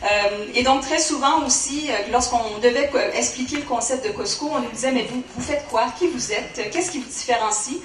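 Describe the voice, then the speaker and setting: A woman with a Canadian French accent giving a conference presentation, often pausing with fillers. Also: high voice, echoey room, distant mic, a little hiss or hum, poor audio. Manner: hesitant, many fillers. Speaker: conference speaker.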